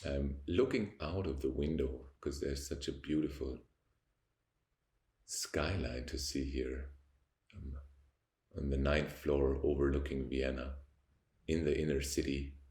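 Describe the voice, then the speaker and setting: Young Austrian man, deep gravelly voice, German accent, slow reflective to-camera chat in an echoey apartment. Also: steady tone, dark tone. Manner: slow, reflective, pausing often to search for words. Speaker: vlogger. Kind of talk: unscripted talking to camera. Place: high-rise apartment by a window.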